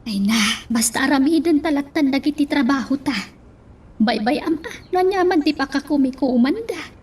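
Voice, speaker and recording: A woman's voice: high voice, lively intonation, normal speed, a little mellow. An actress with a Filipino accent playing a chatty, long-suffering household woman in a radio drama.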